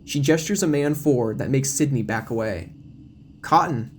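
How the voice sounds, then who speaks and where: A man's voice: medium pitch, fairly quick, darkish tone, a little hiss or hum. A man reading scripted dramatic narration for an audio drama. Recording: music bed behind the voice. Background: music.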